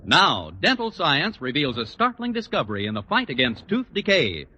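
Very animated male announcer, old radio ad. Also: fairly high pitch, normal speed, breathy edge. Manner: emphatic, formal sales delivery. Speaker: commercial announcer. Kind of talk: 1940s radio commercial announcing. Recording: muffled, lightly noisy old radio recording.

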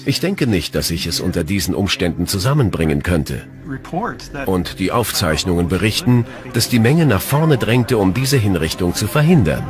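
A man with a low voice reflects on history as an expert commentator. Music plays clearly behind his words. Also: sing-song, moderate pace.

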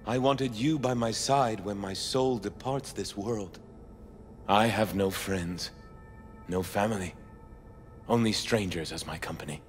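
An actor playing a frightened, devout condemned man in a video game, speaking solemnly and haltingly with earnest feeling. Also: fairly low pitch, close to an everyday voice. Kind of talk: video game dramatic acting. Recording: music behind the voice.

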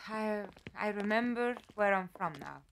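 A logical, dry-witted woman with lost memories played by an actress speaks in formal phrases with a lot of pitch movement. It is fan audio drama, with clear noise on the recording. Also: animated, normal speed, natural voice. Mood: reflective, uneasy. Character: composed, logical, dry-witted female amnesiac captive.

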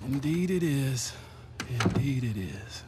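A man's voice: medium pitch, lively intonation, slow, heavy background noise. A man playing a genial, middle-aged business owner in a video game, folksy and pleased. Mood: pleased, relaxed. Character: contented, genial small-business boss eyeing retirement. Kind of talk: video game cutscene acting.

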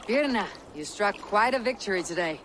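A woman's voice: medium pitch, animated, normal speed, a bit bright, slight background noise, lightly acted. An actress playing a stoic but gracious Viking warrior woman in a video game, restrained and plain-spoken. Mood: calm, friendly. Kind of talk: video game cutscene acting.

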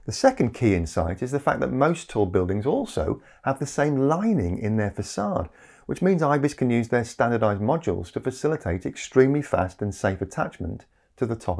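A man's voice: fairly low pitch, darkish tone. A man presenting a scripted explainer in a conversational way, with rhetorical asides. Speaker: video presenter. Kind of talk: scripted explainer narration to camera. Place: small indoor home study. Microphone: lapel mic.

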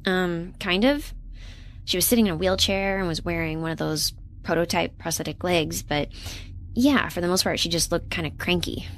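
A woman's voice: on the low side, normal speed, a bit bright. An actress playing an earnest, literal-minded young woman researcher in a sci-fi audio drama, speaking conversationally and a bit hesitantly. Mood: uneasy. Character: earnest, awkward young woman researcher defecting from corporate ship. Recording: clear ambient noise behind.

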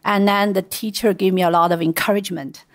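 A woman's voice: mid-range voice, steady tone, brisk, no echo, studio quality. Chatty woman with a Chinese accent. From China. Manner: chatty storytelling with light laughs. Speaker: guest, venture investor. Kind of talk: casual interview.

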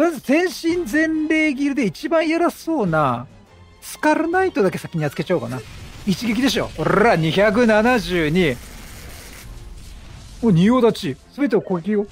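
A man giving casual video game commentary, chatty and jokey, reacting out loud with exclamations. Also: high-pitched. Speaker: game streamer.

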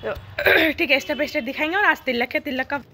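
A young woman with a hoarse voice chatting casually to her phone camera as a vlogger. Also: high voice, expressive, fast, sharp tone, a little hiss or hum. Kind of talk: casual vlog chat. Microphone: phone held at arm's length.

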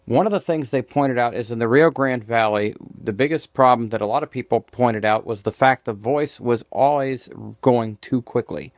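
A man giving an informal explanatory commentary, halting and emphatic, often restarting his phrases. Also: medium pitch, no echo, clean studio sound. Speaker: video creator. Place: small room at home.

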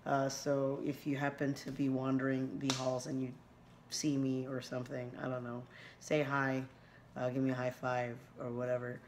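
A woman in her thirties with a hoarse, scratchy voice, chatting casually through an unboxing at home, rambling with many fillers and restarts, picked up by the built-in mic of a camera close by in a small room. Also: rumbling low, completely flat delivery, moderate pace. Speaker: unboxing vlogger. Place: small room indoors at night.

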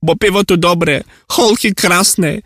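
A man's voice: sits high, slow pace, a bit bright, dry recording, clean studio sound, heavily acted. A man playing a hapless, exasperated Czech lost in Poland, ranting in a comic monologue with a Czech accent. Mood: pleading despair. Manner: flustered ranting in garbled mock Czech-Polish.

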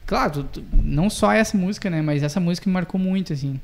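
A man's voice: sits high, fast, creaky. A young man, reflecting in casual podcast chat in a small studio, full of fillers like "cara". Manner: reflective, peppered with "cara" and "sabe". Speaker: podcast co-host. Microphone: studio boom mic close up.

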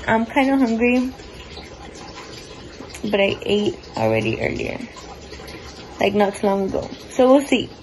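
A woman's voice: medium pitch, a little slow, pauses often, slight background noise, poor audio. A young woman vlogging her day from a small bedroom at home, talking casually into a phone held at arm's length. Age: young adult. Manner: chatty, relaxed, lots of likes and ums. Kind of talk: casual day-in-my-life vlog. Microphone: phone camera at arm's length.

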